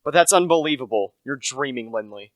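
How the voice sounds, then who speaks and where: A man's voice: medium pitch, expressive, a little fast, dry recording, natural voice. A young man acting as a humble, anxious salesman telling a murder tale in an amateur radio play. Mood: horrified. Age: teenager to young adult. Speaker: actor, narrator.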